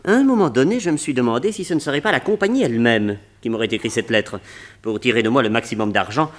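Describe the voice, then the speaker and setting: An actor playing an eager, excitable museum owner in a French radio drama, talking with enthusiasm. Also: male voice, mid-range voice, fast, close to an everyday voice. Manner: enthusiastic, animated.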